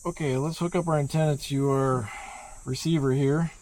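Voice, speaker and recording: A man's voice: mid-range voice, fairly even intonation, normal speed, darkish tone. A man giving a casual, hands-on product review in a plain-spoken, rambling way.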